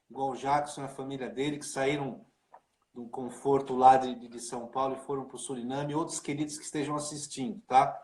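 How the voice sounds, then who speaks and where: A man's voice: mid-range voice, a little mellow. A man, a pastor hosting a casual livestream chat over a video call, informal and talkative. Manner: chatty, informal, full of fillers like né and cara. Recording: echoey room.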